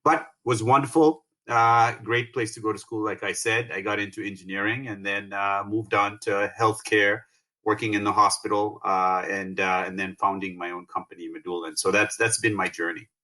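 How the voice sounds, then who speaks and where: A middle-aged man from Tanzania, a company founder, talking through his career in a relaxed interview with plenty of fillers and restarts. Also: on the low side. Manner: halting, many fillers and restarts. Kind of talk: conversational interview.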